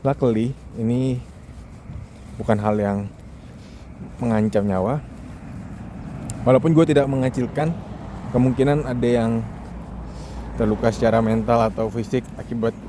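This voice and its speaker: A man's voice: mid-range voice, steady tone, unhurried, pauses often, background noise. A young man from Indonesia talks casually in a joking monologue vlog, mixing in English words. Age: young adult. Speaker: vlogger, international student.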